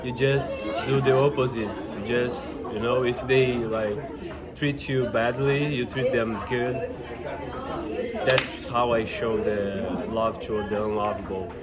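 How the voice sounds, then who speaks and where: Man, loud crowd. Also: medium pitch, steady tone, takes it slowly. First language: Portuguese. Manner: searching for words, earnest. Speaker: interviewee. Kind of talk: casual street interview.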